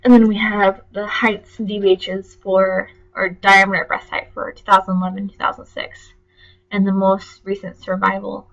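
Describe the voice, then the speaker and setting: A young woman walks through a class project, her voice congested and stuffy from allergies. She talks plainly and a bit haltingly, with ums and self-corrections along the way. The recording is dull and lo-fi, like a computer mic on a screen capture. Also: mid-range voice, little pitch movement, fairly bright tone. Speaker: student presenter.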